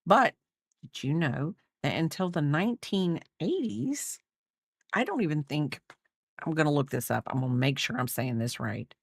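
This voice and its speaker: Brief: woman in her fifties, rambling livestream host, lively pitch, dry close sound. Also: normal speed, creaky, slightly rough, dry recording. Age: 50s. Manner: rambling, chatty, reads out viewer comments. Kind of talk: casual livestream chat.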